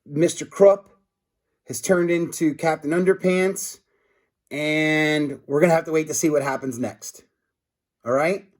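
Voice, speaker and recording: A man reading a story aloud to children in an expressive, dramatic way, adding casual asides. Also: on the high side, measured pace. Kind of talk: animated read-aloud narration with asides.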